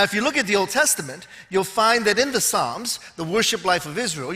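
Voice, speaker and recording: A man's voice: high voice, pushed and bright. A man in his fifties preaching to a large audience, joking dryly at his own expense before turning to teaching. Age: 50s. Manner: wry, joking asides to the audience. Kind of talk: sermon with self-deprecating humor. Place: large auditorium with long reverb. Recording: long hall reverb on stage.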